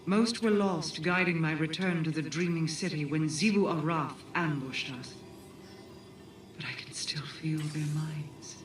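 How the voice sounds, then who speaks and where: An actress voicing a cool, calculating otherworldly queen in a video game, speaking in a formal, measured, commanding way. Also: deep voice. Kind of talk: video game cutscene dialogue. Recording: echoey, distant, with music behind.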